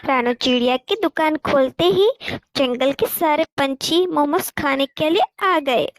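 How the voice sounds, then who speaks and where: A woman's voice: high-pitched, measured pace, no room sound. A woman reading scripted narration for a children's cartoon story.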